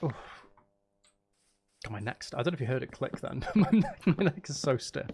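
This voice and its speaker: A man with a mid-pitched voice talks casually on a live game stream. His manner is chatty and playfully dry, given to teasing. There is noticeable noise in the recording. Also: lively intonation, moderate pace, creaky.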